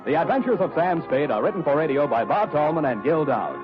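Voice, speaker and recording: A man's voice: fairly high pitch, animated, fairly quick. A male radio announcer reading a commercial and closing credits in a polished, emphatic salesman style. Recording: muffled vintage radio broadcast with faint music.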